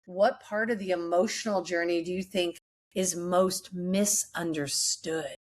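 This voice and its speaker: A woman's voice: fairly low pitch, fairly even intonation, a little slow. A woman hosting a podcast in a casual, conversational way, informal, frank and supportive. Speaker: podcast host.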